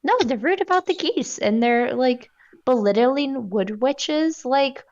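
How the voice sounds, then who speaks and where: A woman's voice: high-pitched, animated, slow pace, darkish tone, dull audio. A woman chatting casually as a tabletop game player, blunt, opinionated and sweary. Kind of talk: casual chat.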